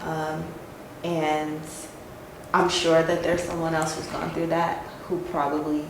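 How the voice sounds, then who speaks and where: A woman hosting a podcast, gently thanking and affirming her guest in a casual interview. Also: sits low, flat delivery, takes it slowly. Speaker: podcast host. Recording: very echoey room with background noise.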